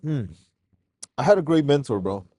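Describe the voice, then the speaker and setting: A man from Mexico, a guest on a casual podcast interview, telling his story in a relaxed, slangy way. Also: on the high side, normal speed, dry recording, studio quality. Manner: relaxed, slangy, calls host "bro".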